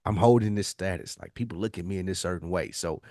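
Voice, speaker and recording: A man's voice: deep voice, fast, a little mellow, no echo, studio quality. A male podcast co-host chatting and debating casually in a slangy, profane, insistent way.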